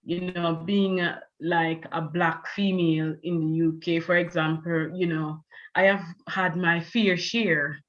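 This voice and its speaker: A woman's voice: fairly low pitch, steady tone, unhurried, muffled recording. A woman with a Jamaican accent hosting an online panel, talking loosely with many "you know" fillers. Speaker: event host.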